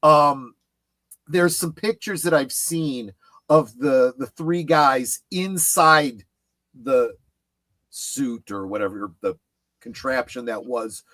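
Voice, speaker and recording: Male panel guest, mid-pitched, explanatory and halting, recorded very dry. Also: a bit bright, no echo. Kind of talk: casual explanatory fan discussion.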